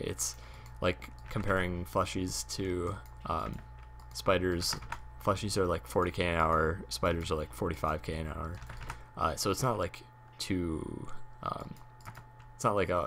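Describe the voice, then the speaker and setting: A young man with a hoarse voice from a cold, rambling casually with many fillers while talking about a game he streams. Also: low-pitched, a bit bright, a little hiss or hum. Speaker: gaming YouTuber and streamer. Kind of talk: casual talk over gameplay.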